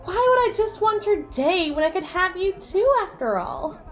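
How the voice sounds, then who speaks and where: Woman voicing a flirty jealous yandere bestie for asmr roleplay, high voice that swings all over, sassy n teasing. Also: very animated, soft background music. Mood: mock-threatening, flirty. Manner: teasing, sassy, theatrical, drawn-out emphasis. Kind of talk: ASMR roleplay monologue addressed to the listener.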